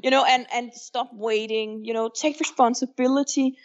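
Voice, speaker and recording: A woman's voice: a little high, steady tone, moderate pace, dull audio. A woman from Denmark with a Danish accent, speaking English as her second language, frankly answering questions as a guest author in a remote podcast interview. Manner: frank, candid, plainspoken.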